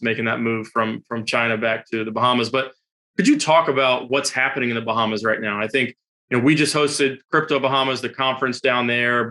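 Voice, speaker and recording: A man's voice: medium pitch, sharp tone. A man hosting an interview, conversational and articulate, speaking in long flowing sentences as he leads into questions. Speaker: interview host.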